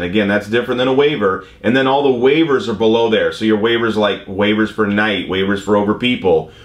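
An American man in his fifties giving an informal, conversational explanation as a vlog host, repeating his key points. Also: medium pitch. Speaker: vlog host, commercial drone pilot. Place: indoor workshop or studio room. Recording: roomy, echoing indoor sound.

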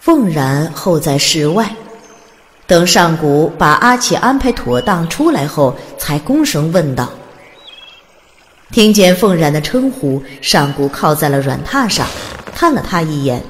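A woman's voice: low-pitched, sing-song, unhurried, a little hiss or hum. A woman reading scripted audiobook narration as the storyteller. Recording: long reverb, music underneath. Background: music.

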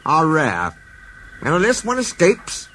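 A man voicing a kindly, authoritative older railway boss who speaks cheerfully and gravely by turns. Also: fairly high pitch, huge pitch swings, measured pace, fairly bright tone, slight background noise, voice pushed up, exaggerated voice.

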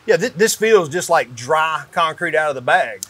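A man's voice: a little high, animated, moderate pace, pushed and bright, slightly rough. A man in his forties presenting an explainer, asking leading questions and summing up the answers. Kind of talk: guided explainer conversation. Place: indoors in a metal-walled barn or shop.

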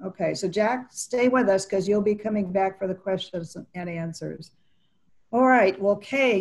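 A woman moderating an online panel from her living room, asking measured questions and thanking each guest before moving on. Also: medium pitch, darkish tone. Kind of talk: interview questions. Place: home living room, indoors. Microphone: video call.

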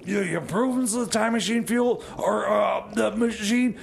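A man acts out an eager time machine mechanic in a radio comedy. The voice sits high with a gravelly fry, and it trips over itself, repeating words in flustered, excitable bursts. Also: moderate pace. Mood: excited. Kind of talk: comedy radio theater banter.

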